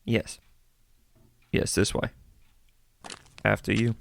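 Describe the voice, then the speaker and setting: A kindly priest in a radio drama, over clear background noise. His voice creaks softly, and he speaks in an awkward, fatherly way. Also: lowish voice, monotone, slow pace, full character voice. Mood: gentle, awkward. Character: gentle, awkward male priest.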